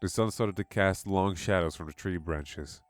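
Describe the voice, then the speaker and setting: A man with a low voice narrates a scripted horror tale. The recording is dry, and music plays softly behind him. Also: moderate pace, no echo.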